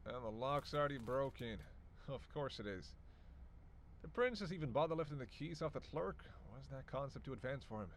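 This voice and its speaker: An actor playing a reluctant, sardonic vampire in an audio roleplay, sarcastic and grumbling, swearing freely. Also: male voice, medium pitch, lively intonation, a little fast, slight background noise, natural voice. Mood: dry, sarcastic. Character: sardonic, reluctant male vampire, hungry, cursing, teasing. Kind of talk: solo audio roleplay addressed to the listener.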